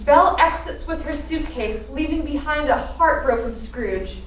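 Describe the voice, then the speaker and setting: Female radio-play narrator, high pitch, theatrical scripted delivery, roomy sound with faint noise. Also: normal speed, recorded from a distance. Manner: theatrical storytelling. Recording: echoey room from afar, faint noise.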